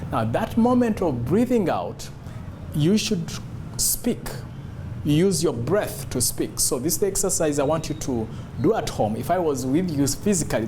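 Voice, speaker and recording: A man coaches public speaking in an instructional talk. His pitch moves up and down in a lively way, and he stresses and repeats key phrases as he demonstrates. There is clear noise and hum behind his voice.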